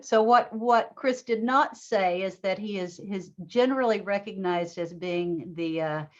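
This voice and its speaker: A woman's voice: mid-range voice, a little slow. Encouraging woman interviewer, muffled sound. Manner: warm, encouraging, with short affirmations. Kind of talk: oral history interview.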